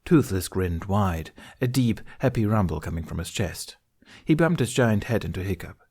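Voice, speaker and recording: A man reading scripted fiction aloud as an audiobook narrator, in a measured storytelling manner. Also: low voice, animated, a little mellow, slightly rough.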